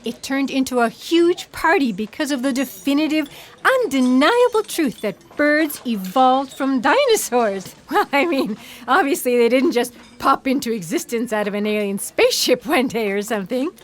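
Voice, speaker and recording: A woman playing an anxious, defensive paleontologist with a flustered, halting delivery in a comedic sci-fi audio play. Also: high voice, lively intonation. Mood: earnest.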